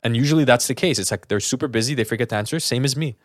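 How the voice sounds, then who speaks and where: A man's voice: medium pitch, very fast, no room sound, studio quality. A man co-hosting a podcast, talking casually and candidly in an earnest, explanatory, colloquial way. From lives in Los Angeles. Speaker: podcast co-host.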